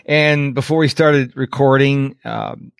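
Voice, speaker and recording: A man's voice: mid-range voice, fairly even intonation, slow pace, creaky, clear, resonant tone, dry recording, clean studio sound. A middle-aged man hosting a podcast interview, chatty and self-deprecating, telling personal stories. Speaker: podcast host. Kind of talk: casual interview chat.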